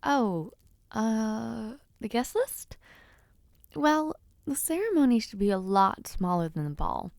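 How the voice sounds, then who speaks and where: A young noblewoman, played by a woman in an intimate audio roleplay, talks slowly and playfully in a close, dry recording. She is teasing yet bashful, pausing hesitantly, with a creaky fry in her voice. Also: a little high, expressive, a little mellow, no echo, heavily acted. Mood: thoughtful, hesitant. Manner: playful, hesitant, with pauses and sighs. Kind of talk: intimate audio roleplay, one-sided conversation.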